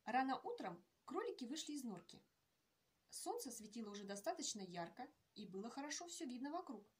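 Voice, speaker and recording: A woman in her forties, an author reading her own children's tale aloud in a gentle, measured storytelling manner, recorded indoors on a webcam. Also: on the high side, very animated, slightly rough. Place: indoor room with painted canvases.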